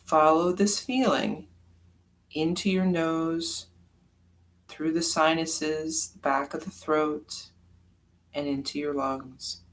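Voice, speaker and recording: A yoga nidra teacher leading a guided meditation in a calm, unhurried, soft-spoken way with long pauses. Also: medium pitch.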